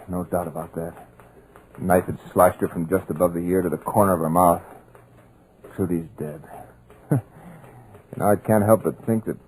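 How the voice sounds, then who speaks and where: A man's voice: low voice, low-quality recording. An actor playing a terrified, paralyzed male writer narrating in a fearful voice, in 1940s radio acting. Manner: frantic then hushed, fearful narration. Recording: old radio recording with music.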